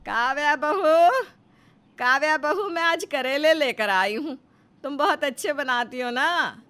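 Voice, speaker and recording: Storybook mother-in-law, acted by a woman: sharp-tongued, teasing, doubtful, very animated delivery. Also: high-pitched, takes it slowly, pitched above the performer's own voice, exaggerated voice. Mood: calling out. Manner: scolding, exclaiming, teasing.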